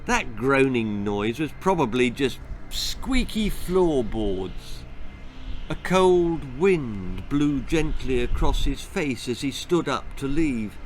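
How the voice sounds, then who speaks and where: A man's voice: mid-range voice, big pitch swings, slow, faint music behind. A man reading a spooky, comic children's story in a theatrical, suspenseful manner. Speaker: author reading own story. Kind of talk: dramatic children's horror-comedy storytelling.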